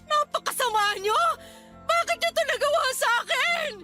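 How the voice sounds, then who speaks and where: A woman's voice: very high voice, animated, normal speed, music in the background. A woman playing a furious betrayed wife and older sister in a radio drama, scolding and confrontational. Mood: enraged, anguished. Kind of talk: Tagalog radio drama acting.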